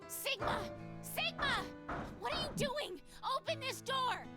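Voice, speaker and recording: Shrill, frantic young woman. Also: lively intonation, slow pace, exaggerated voice. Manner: shrill, urgent, crying out. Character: distraught young woman pleading through a locked door. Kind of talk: video game voice acting. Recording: game audio under loud music.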